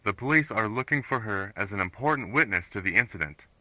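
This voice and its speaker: A man playing a grim retired investigator, reciting a horror case report in a flat, formal manner. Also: fairly low pitch, fast talker, very noisy, lightly acted. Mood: grim, detached. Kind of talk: scripted narration, analog horror case report.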